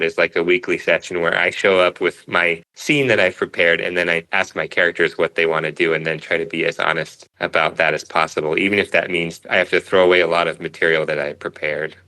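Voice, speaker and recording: Low bright-voiced man, thoughtful interview guest. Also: vocal fry, poor audio. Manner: thoughtful, explains through analogies. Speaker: interview guest, cartoonist and author. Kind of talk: conversational explaining.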